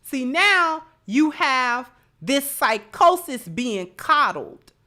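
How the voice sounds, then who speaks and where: A woman's voice: sits high, sing-song, extremely slow, bright, forceful tone. An American woman hosting opinionated live commentary, speaking emphatically and repeating phrases for stress. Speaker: host of a commentary show.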